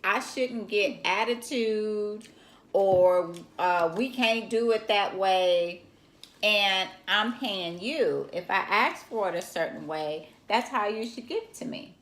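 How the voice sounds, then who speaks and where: A woman's voice: mid-range voice, slow pace, a bit bright. A middle-aged woman co-hosting a casual chat with her daughter, teasing and laughing often. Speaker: co-host, mother of co-host. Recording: echoey room.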